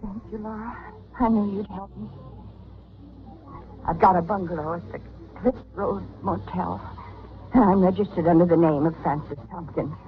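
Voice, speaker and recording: A woman's voice: fairly low pitch, normal speed, pauses often, natural voice. A woman acting as a desperate schemer in a vintage radio thriller. She is urgent and coaxing, pleading in a dramatic period style. The sound is tinny, with faint noise. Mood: anxious, pleading. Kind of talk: 1940s radio mystery acting. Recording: tinny, hissy old radio drama recording.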